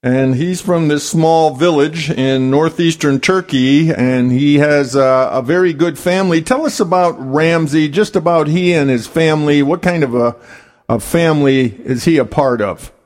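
A man hosts a radio interview and asks his guest measured, leading questions. His voice sits a little high. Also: a little mellow. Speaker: interview host.

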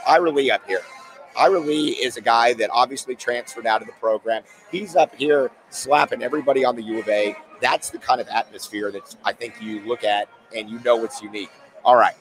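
A man hosting a casual sports talk show, his voice worn hoarse from days of yelling. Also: medium pitch, fairly quick, faint music behind, low-quality recording. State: losing his voice.

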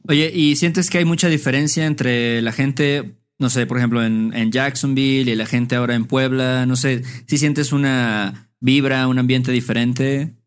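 A man's voice: medium pitch, fairly even intonation, a little slow, hardly any pauses, clear, resonant tone. Male co-host, hesitant asking. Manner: hesitant questioning with fillers. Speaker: podcast co-host. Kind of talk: casual interview questions.

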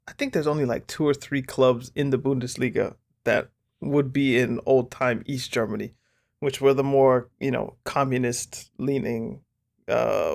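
A man's voice: medium pitch, vocal fry, darkish tone. A man co-hosting a casual football podcast, thinking aloud in a rambling way with many fillers. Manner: thoughtful, rambling, lots of "like". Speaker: podcast co-host.

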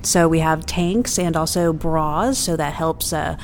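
A woman's voice: low-pitched, steady tone, a little slow, in a large hall, faint music behind. A woman who founded a company, answering interview questions hesitantly with fillers.

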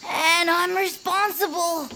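A woman playing an eccentric, excitable small inventor creature with a creaky voice and theatrical, self-important phrasing, in a cartoonish audio drama. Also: very high voice, slow, very noisy, heavily acted. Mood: panicked. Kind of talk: cartoonish audio fiction acting.

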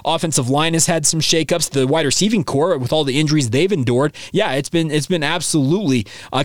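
Slightly hoarse, chatty male podcast host. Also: fairly high pitch, no room sound, studio quality. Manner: excited and chatty, many fillers and restarts. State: slightly hoarse, coming down with a sore throat. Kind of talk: casual sports talk podcast.

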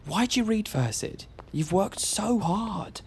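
A man's voice: high voice, animated, unhurried, creaky, a little mellow, a little hiss or hum, exaggerated voice. An actor playing a desperate, pleading young male gambler in a naturalistic audio drama. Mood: concerned.

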